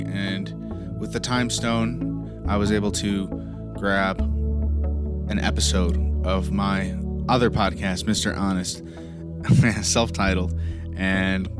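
Raspy man hosting a podcast intro. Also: on the low side. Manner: rambling, with many ums and uhs. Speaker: podcast host.

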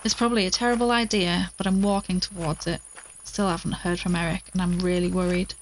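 A woman's voice: on the low side, level tone, a little fast, close to an everyday voice. Anxious, determined actress as a woman, noisy recording. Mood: worried, resolute. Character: exhausted, anxious but determined woman recording an audio log. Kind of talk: audio drama acting, spoken field log. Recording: clearly noisy outdoor recording with crickets.

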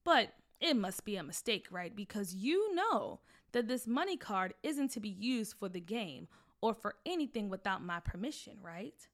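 A woman's voice: mid-range voice, sing-song, normal speed. A woman voicing a stern, protective mother who speaks in a firm, scolding manner. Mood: probing.

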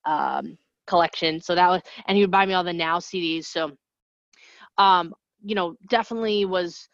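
Chatty woman with a low voice. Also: moderate pace, no echo, dull audio. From New Jersey. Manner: chatty, rambling with restarts and asides. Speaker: guest singer-songwriter. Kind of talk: casual interview talk.

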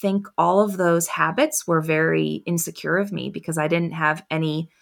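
A woman speaking as a coach in a podcast interview. Her voice is low and slightly gravelly, soft and quiet. She talks in a reflective, unhurried way, with long pauses between her thoughts. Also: fairly even intonation. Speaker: confidence coach, podcast guest.

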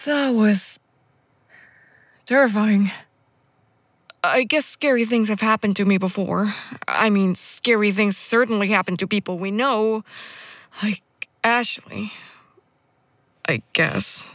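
A woman's voice: mid-range voice, slow, long pauses, fairly bright tone, no room sound. A woman playing a caring, wry young student in an audio drama, speaking casually and hesitantly. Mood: shaken, reflective. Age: young adult. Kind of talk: naturalistic audio drama acting.

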